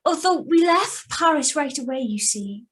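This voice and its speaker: An actress plays an anxious young woman hiding behind a false name in a suspense radio drama. Her voice sits fairly high. She tells and lives the story in the manner of old radio, read over a video call. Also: expressive, moderate pace, fairly bright tone. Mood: nervous, evasive. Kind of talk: 1940s-style radio suspense narration and dialogue. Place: remote live reading over video call.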